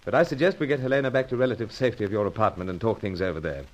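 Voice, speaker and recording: An actor playing a suave secret agent hero in an old-time radio adventure serial, earnest and dramatic. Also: male voice, lowish voice, racing, natural voice, rough recording. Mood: grave, concerned.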